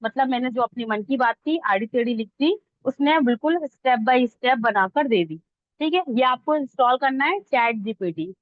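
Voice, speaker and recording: Female online tutor, higher-pitched voice, informal and conversational step-by-step teaching, slightly muffled lo-fi recording. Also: lively intonation, normal speed. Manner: conversational, checks that listeners follow. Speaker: instructor teaching reel editing online. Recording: dull lo-fi close dry audio.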